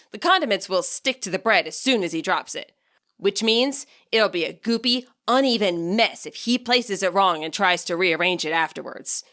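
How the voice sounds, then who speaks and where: A woman reading a scripted story as its narrator, with a wry, expressive delivery. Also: medium pitch. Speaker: author narrating own fan fiction audiobook.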